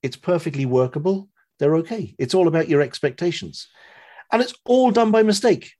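A man with a husky voice chatting casually, retelling stories in a rambling, animated way with some swearing. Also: on the high side, normal speed. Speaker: podcast guest. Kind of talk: casual chat, retelling a story.

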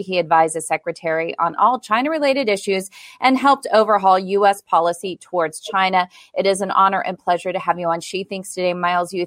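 A woman hosting a podcast, introducing her guest smoothly and asking questions. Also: lowish voice, fairly bright tone, no echo, studio quality. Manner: polished, scripted introduction. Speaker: podcast host.

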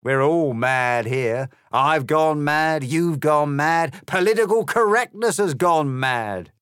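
Man doing pompous ranting cat for a storybook spoof: smug, loud, swooping delivery. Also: a little high, animated, takes it slowly, clean tone, no room sound, studio quality, pitched above the performer's own voice. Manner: blustering, loud and indignant. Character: smug grinning male cat, pompous political ranter.

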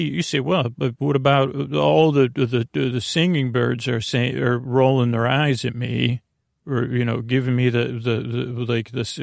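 Male sleep podcast host, very gravelly and creaky, dry close sound, rambling and halting, whimsically self-deprecating. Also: medium pitch, dry recording. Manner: meandering, halting, wandering off mid-thought. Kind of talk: rambling bedtime monologue.

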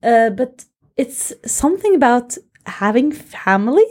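Bright-voiced woman co-host. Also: a little high, sing-song, unhurried. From Norway. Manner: chatty, uses "like" and "actually". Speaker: podcast co-host. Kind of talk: casual chat.

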